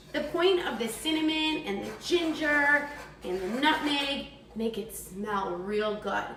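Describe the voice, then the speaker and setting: A woman's voice: high voice, fairly bright tone. A woman giving a step-by-step cooking demonstration for young children, talking in a simple, encouraging, instructional way. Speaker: teacher demonstrating a recipe for children. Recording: distant mic, echoey room, faint noise.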